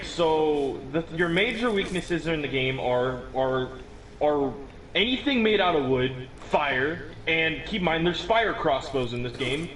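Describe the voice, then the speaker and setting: A man's voice: a little high, fairly bright tone, low-quality recording. A teenage boy explaining a game build in casual chat, rambling with many restarts and little chuckles. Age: teens. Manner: rambling, restarts often, chuckles at own remarks.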